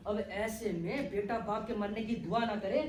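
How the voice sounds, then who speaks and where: A man's voice: sits high, fairly even intonation, moderate pace, lightly acted. A man playing an indignant young spendthrift son in a comic stage play, haughty and complaining. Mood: exasperated. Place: theatre stage, distant microphone. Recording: echoey, noisy stage recording from far off.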